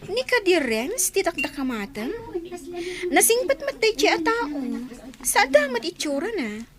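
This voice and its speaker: Woman as a very high, animated young friend. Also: measured pace, crowd noise, exaggerated voice. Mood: probing. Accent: Ilocano. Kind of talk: Ilocano radio comedy drama acting. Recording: noisy background ambience.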